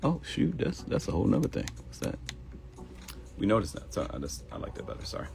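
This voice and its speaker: A man's voice: fairly low pitch, wildly swooping pitch, quick pace, vocal fry. A middle-aged man with a Baltimore accent hosting a live morning show in casual talk, addressing viewers directly and acting out quoted voices.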